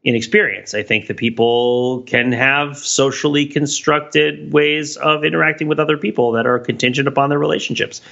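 A man's voice: medium pitch, steady tone, moderate pace, creaky, hardly any pauses, a bit bright. A middle-aged man co-hosting a podcast in casual conversation, discursive and analytical with wry humor, recorded with a dull, lo-fi sound. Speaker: podcast co-host.

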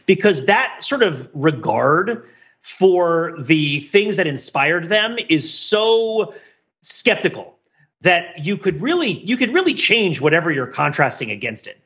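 Guy on a podcast panel, pretty high forceful voice, wordy and emphatic, slightly muffled lo-fi audio. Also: big pitch swings, unhurried. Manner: discursive, emphatic, intellectual vocabulary. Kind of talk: casual analytical discussion.

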